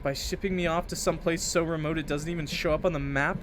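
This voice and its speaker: A man voicing a brisk, scolding, dismissive mother in a visual novel. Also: fairly high pitch, fairly even intonation, noisy background, close to an everyday voice.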